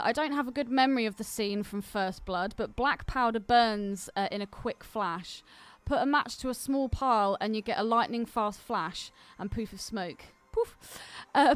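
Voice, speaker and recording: A woman's voice: a little high, clean tone. A woman co-hosting a podcast, chatting casually and reading aloud, giggly and easily sidetracked. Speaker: podcast co-host. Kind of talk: casual chat, reading listener mail aloud.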